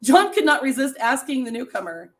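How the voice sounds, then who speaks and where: A middle-aged woman with a raspy voice hosting a casual live stream, chatting with viewers and reading trivia and short stories aloud. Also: high voice, big pitch swings, brisk. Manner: chatty, laughs often, reads facts and stories to viewers.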